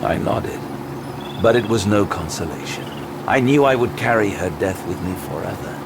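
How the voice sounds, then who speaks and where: An actor playing a haunted, wounded detective, narrating a grim horror audio drama with grave intensity. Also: male voice, lowish voice, expressive, moderate pace, noisy background. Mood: grieving, exhausted. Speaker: narrator and lead character. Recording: loud music bed throughout.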